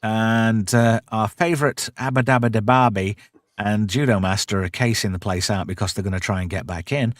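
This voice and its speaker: A man's voice: lowish voice, moderate pace, no room sound, studio quality. A British man hosting a pop culture show, recapping casually in sweary, irreverent slang.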